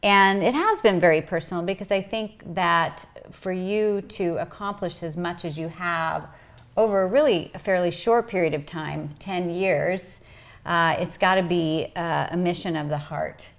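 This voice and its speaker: A woman's voice: on the low side, unhurried. A woman hosting an interview in a conversational way. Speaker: interview host.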